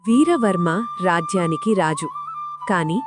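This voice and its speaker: Casting: female narrator for a scripted tale, lowish register, music clearly underneath. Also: sing-song, unhurried.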